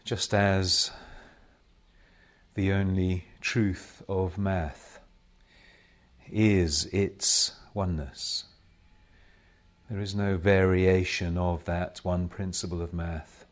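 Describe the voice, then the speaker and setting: A man leading a guided meditation as a spiritual teacher, speaking in measured, solemn short phrases with long pauses between them. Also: low voice, fairly even intonation. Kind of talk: slow guided meditation talk.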